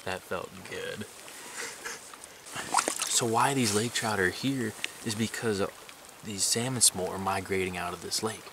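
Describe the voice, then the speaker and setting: A young man with a lowish voice rambles excitedly about his night of fishing, tired from being up all night. He sounds set back from the camera on the lakeshore, with clear outdoor water sounds behind him. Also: unhurried, long pauses. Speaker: fishing vlogger. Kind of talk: casual outdoor vlog. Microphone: camera on the shore at a distance. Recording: camera mic at a distance, outdoor water noise.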